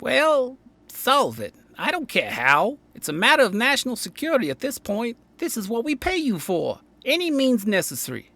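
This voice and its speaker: A woman's voice: fairly high pitch, huge pitch swings, moderate pace, hardly any pauses, a bit bright, full character voice. A woman playing a harried, cynical, short-tempered city mayor in an audio drama, curt and exasperated. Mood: demanding.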